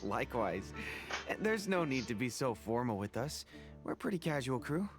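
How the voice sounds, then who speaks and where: A man's voice: mid-range voice, very animated, normal speed, music in the background. A man voicing a friendly, earnest mercenary in a video game, talking in a casual, explanatory way. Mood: friendly, welcoming. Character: friendly, earnest male desert mercenary reporting intelligence.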